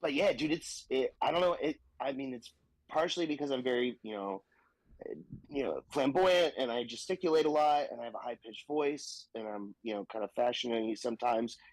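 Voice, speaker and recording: A man's voice: medium pitch, fairly quick, dry recording, poor audio. Young comedian guy, laughs a lot, dirty jokes, dry close mic. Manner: laughs often, crude and animated. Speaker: stand-up comedian guest. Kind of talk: casual podcast chat. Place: Austin, Texas.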